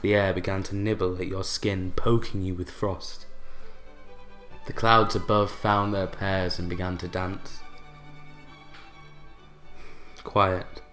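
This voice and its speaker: An actor playing a bitter, broken widower in a radio play monologue, brooding and theatrical, with deliberate pauses. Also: male voice, low voice, level tone, a bit bright, faint music behind. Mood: wistful, brooding. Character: bitter, broken widower recounting his unhappy marriage.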